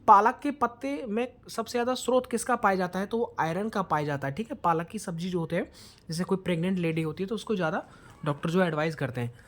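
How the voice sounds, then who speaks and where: A man's voice: high voice, fast, a bit bright. A male coaching teacher takes listeners through a quiz-style lesson. He speaks in an instructional way, putting questions to them and dropping English words into his explanations.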